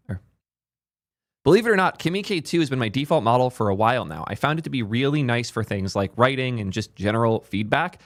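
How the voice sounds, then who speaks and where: A young man hosting a casual tech video, excitable, restarting lines and swearing now and then, his voice a little hoarse. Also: medium pitch, no room sound, studio quality. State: voice a bit hoarse, clearing throat. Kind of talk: casual tech commentary to camera.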